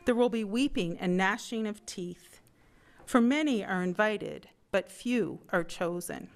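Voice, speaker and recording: A woman reading a scripture lesson aloud in a measured way during a church service. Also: mid-range voice, expressive. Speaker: scripture reader.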